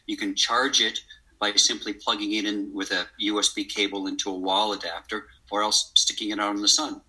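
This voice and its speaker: Low, flat, sharp-edged raspy man. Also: brisk, rough recording. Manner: matter-of-fact product pitch. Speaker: company co-founder, guest. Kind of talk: casual podcast interview.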